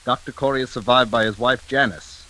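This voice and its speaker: A man performing a 1940s radio newsman with a bright, forceful, mid-pitched voice. He reads a grim bulletin in a formal, clipped, impersonal way. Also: steady tone, brisk, lightly acted, low-quality recording. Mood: matter-of-fact. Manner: formal, clipped, matter-of-fact. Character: brisk, impersonal male newsman reading a grim report. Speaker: news reporter in a radio play.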